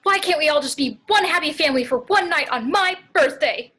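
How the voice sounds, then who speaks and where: A woman's voice: high voice, expressive, fairly quick, bright, forceful tone. A woman playing a chirpy, smothering wife in an amateur script read. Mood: pleading. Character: chirpy, smothering wife eager for a happy family.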